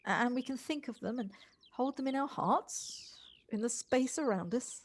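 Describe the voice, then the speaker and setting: A fairly high female voice from an actress plays a wistful city woman in an audio drama. She speaks gently and haltingly, repeating words as she searches for what to say, in a natural, understated style. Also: expressive, a little hiss or hum, lightly acted. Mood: gentle, consoling.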